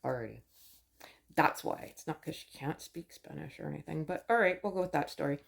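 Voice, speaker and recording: A woman's voice: low-pitched, brisk, gravelly. A woman host giving a chatty, sarcastic recap of a show, full of wry asides. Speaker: channel host.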